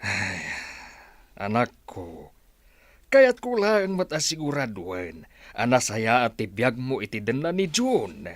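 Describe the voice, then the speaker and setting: A man's voice: medium pitch, huge pitch swings, no echo. An actor playing a doting, hesitant elderly father in a radio drama, hemming and murmuring as he talks. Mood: concerned, questioning. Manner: halting, full of hems and murmurs.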